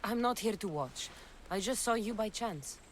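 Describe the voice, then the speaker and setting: Woman playing a wry, lively mercenary heroine. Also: mid-range voice, normal speed, slight background noise, close to an everyday voice. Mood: concerned. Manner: assertive, wry. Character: confident, wry mercenary heroine, blunt and bold. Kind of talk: video game cutscene acting.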